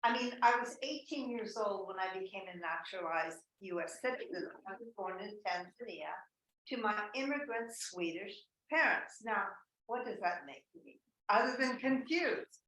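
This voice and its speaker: A woman telling a personal story to a group over a video call, in a deliberate, wry manner. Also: mid-range voice, lively intonation, fairly bright tone, slight background noise. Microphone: computer mic on a video call. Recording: roomy and distant from the mic.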